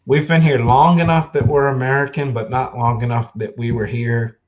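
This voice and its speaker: A man in his forties from the Mid-Atlantic US, hosting a casual livestream chat, easygoing and quick to laugh, with lots of fillers. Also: medium pitch, fairly even intonation, lo-fi sound. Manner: chatty, laughs easily, trails off with fillers. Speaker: livestream host.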